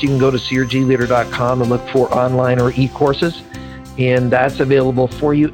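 Man, podcast host, upbeat, encouraging, faint music behind. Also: mid-range voice. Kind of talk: podcast interview and promo read.

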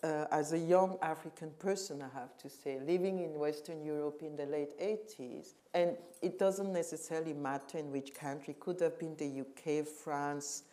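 Low, mellow-voiced woman curator. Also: fairly even intonation, normal speed, few pauses. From Cameroon. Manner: reflective, emphatic, searching for words. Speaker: curator and museum director. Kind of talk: panel conversation.

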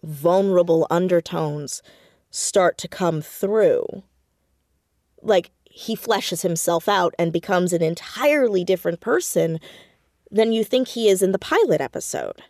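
A woman talking casually as an interview guest about her writing, with animated, self-deprecating storytelling and emphatic stresses on words. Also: lowish voice, measured pace, dry recording, clean studio sound. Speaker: podcast guest, audio drama writer and creator.

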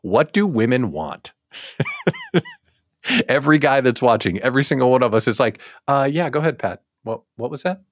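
A man hosting a casual live show, talking straight to viewers in an animated, theatrical way with exaggerated emphasis. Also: mid-range voice, moderate pace. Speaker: host of a daily live show for small business owners.

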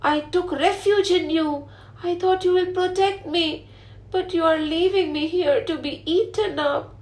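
A woman voicing a tiny, pleading female fish in a small, high voice. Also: steady tone, measured pace. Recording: roomy echo.

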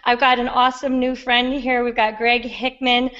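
Hoarse, bubbly woman podcast host. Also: fairly high pitch, highs cut off. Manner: bubbly and excitable, quick to laugh. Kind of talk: casual interview chat.

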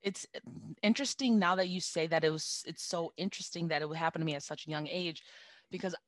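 A woman with a deep voice, a singer chatting as a guest in an interview. She talks reflectively and restarts her sentences often. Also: no echo. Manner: reflective, halting, with many restarts. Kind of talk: casual interview chat.

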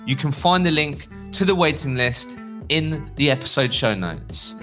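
A man around thirty hosting a podcast, pitching a community and then interviewing a guest with deliberate, upbeat delivery. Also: very animated, bright, forceful tone, soft background music. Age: about 30. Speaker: podcast host.

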